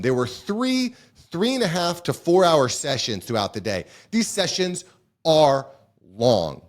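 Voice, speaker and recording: A man giving a casual, chatty talking-head review as a business vlogger, with lots of fillers and restarts. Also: fairly high pitch. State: a little hoarse.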